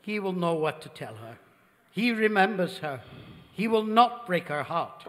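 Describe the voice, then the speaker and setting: A man's voice: high-pitched, sing-song, fairly bright tone, heavily acted. A man playing a solemn, devoted, formal butler in a radio drama. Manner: formal, deliberate. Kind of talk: old-time radio drama acting. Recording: reverberant theatre stage recording.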